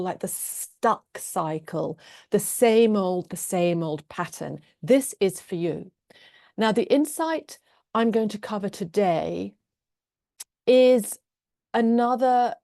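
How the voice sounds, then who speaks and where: A woman with a British accent, Norwegian her first language, teaching a live online love-coaching class in an earnest, gentle way. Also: medium pitch, dry recording, clean studio sound. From England.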